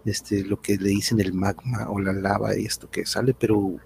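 A man's voice: on the low side, little pitch movement, moderate pace, creaky, darkish tone, slight background noise. A man hosting a casual livestream chat in a rambling way, often chuckling. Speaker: host.

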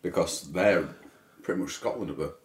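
A man's voice: deep voice, moderate pace, echoey room. A young man with a Yorkshire accent co-hosting a casual podcast, laid-back and dry, swearing casually. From Leeds, England. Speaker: podcast co-host. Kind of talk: casual chat.

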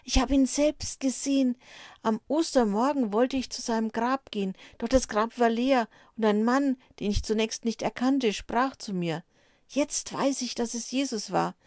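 A woman voicing a frightened woman disciple who passes on secret news in a hushed, urgent, whispery voice. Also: a little high, moderate pace, no echo, clean studio sound, voice pushed up, lightly acted. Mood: earnest. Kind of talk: storybook character voice.

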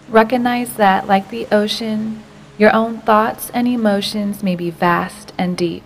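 A woman narrating a guided meditation in a slow, gentle, unhurried way, with softly breathed phrase endings. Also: medium pitch, vocal fry.

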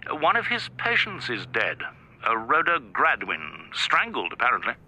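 Sharp, telephone-filtered police chief. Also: male voice, medium pitch, wildly swooping pitch, a little hiss or hum, exaggerated voice, poor audio. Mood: brisk, official. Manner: brisk, official. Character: brisk, authoritative senior police chief. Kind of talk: radio drama phone dialogue.

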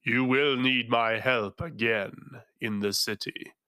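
A resonant, tentacled male illusionist speaks in slow, measured, grave tones, as a male narrator gives him voice. The sound is bright and dry, with no room around it. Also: medium pitch, fairly even intonation, smooth, no echo.

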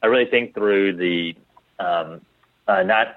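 A man's voice: low-pitched, slow pace, no room sound, narrow, tinny audio. A man giving casual interview answers as a company founder, thinking aloud and often repeating his words as he goes. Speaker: guest, clothing company founder.

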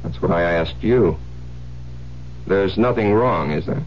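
An American actor playing a suspicious, domineering psychiatrist husband, insistent and pressing, in 1970s radio drama style. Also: male voice, low-pitched, takes it slowly. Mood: controlling, menacing. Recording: muffled, hissy old radio broadcast with hum.

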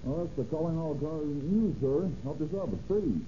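An actor playing an obliging, eager gas station attendant giving polite sales patter in a 1930s radio commercial skit. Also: male voice, fairly high pitch, animated, moderate pace, warm tone, close to an everyday voice. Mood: helpful, eager. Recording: muffled, noisy 1930s radio recording.

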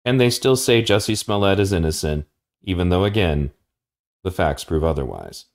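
A man's voice: low voice, very animated, moderate pace. A man delivering firm, assertive political commentary in a podcast clip.

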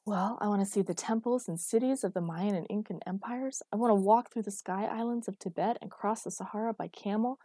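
A woman's voice: mid-range voice, a little fast, few pauses, warm tone, no room sound, clean studio sound, lightly acted. A woman voicing a headstrong, dreamy and defiant woman with naive candour in a dramatic story reading. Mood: yearning.

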